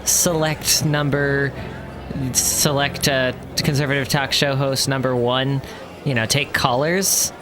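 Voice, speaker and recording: A man co-hosting a comedy radio show, dropping quick, wry, deadpan asides. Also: mid-range voice. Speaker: co-host. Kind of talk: comedy chat show banter.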